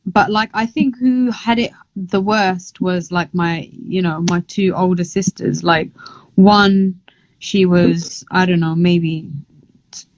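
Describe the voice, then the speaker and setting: A woman's voice: on the low side, fairly even intonation, slow pace, dry recording, poor audio. Chatty woman, dry close sound. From Somalia, raised in London. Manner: chatty, lots of "like" fillers, laughs easily. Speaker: boxer, interview guest. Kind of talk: casual interview chat.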